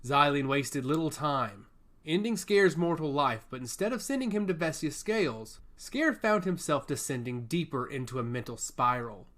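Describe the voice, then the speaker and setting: A man's voice: a little high, very animated, moderate pace, a bit bright. A man reading scripted fantasy fiction aloud as a storyteller. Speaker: audiobook narrator.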